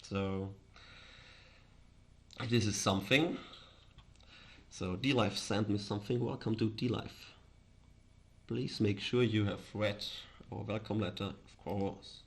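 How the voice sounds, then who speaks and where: A man from Austria with an Austrian accent and German as his first language, chatting casually on a livestream in a hesitant, halting way. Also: lowish voice, steady tone, gravelly. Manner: hesitant, halting, chuckles often. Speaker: live streamer, host.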